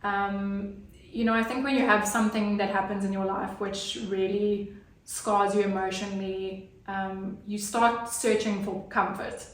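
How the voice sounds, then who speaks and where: A woman's voice: mid-range voice, little pitch movement, a little slow. A woman, telling her personal story as an interview guest in an earnest, hesitant way with many fillers. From Zimbabwe. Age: 30s. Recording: roomy, distant mic.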